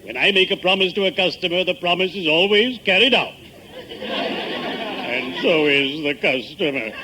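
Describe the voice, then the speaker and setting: An actor playing a pompous, morbidly genial middle-aged undertaker with genteel, mock-solemn diction in an old-time radio sitcom. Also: male voice, high-pitched, animated, normal speed, a crowd in the background. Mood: solemn, polite. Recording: noisy vintage 1950s radio broadcast.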